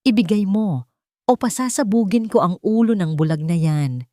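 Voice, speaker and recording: A woman's voice: mid-range voice, moderate pace, dark tone, no echo, clean studio sound, natural voice. A woman voicing a villainous male lawyer in an audio story, agitated, threatening and gloating. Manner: menacing, agitated.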